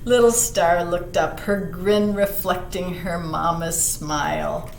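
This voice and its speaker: Slow-paced woman storyteller in an echoey room. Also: on the low side, creaky. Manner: expressive, asks listeners questions, drops into hushed asides. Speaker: children's library storyteller. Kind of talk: animated picture-book read-aloud with asides to young listeners. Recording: roomy echo, some background noise.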